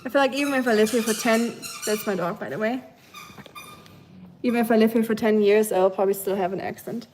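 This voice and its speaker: A woman's voice: a little high, a little fast, darkish tone, slight background noise, poor audio. A young woman from Germany with a German accent, chatting casually with livestream viewers while she cooks at home, giggling and cooing at her dog. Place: home kitchen.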